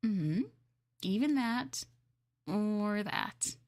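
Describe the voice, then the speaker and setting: An affectionate slime girl, played by a woman, speaks intimately to a partner in an ASMR roleplay. The delivery is coy and doting, with playful curiosity and gentle teasing. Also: mid-range voice, animated, drawn-out words. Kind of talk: ASMR roleplay script reading.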